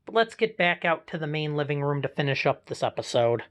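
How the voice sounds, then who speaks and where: A man's voice: fairly high pitch, expressive, brisk, a bit bright, clear, resonant tone. A man with an American accent casually presenting a hobby vlog, walking viewers through equipment. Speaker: amateur radio hobbyist presenter.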